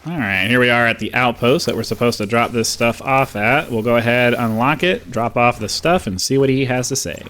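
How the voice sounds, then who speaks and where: A man's voice: mid-range voice, brisk, few pauses, a bit bright. A man hosting a gaming video, giving casual, rambling commentary in an offhand, informal way.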